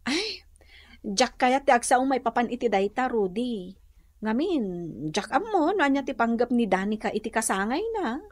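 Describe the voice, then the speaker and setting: A considerate young office woman with a Filipino accent in a radio drama. Her high voice moves in lively swings, gentle and a little hesitant. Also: moderate pace, no echo. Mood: playful, modest. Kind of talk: Ilocano radio drama acting.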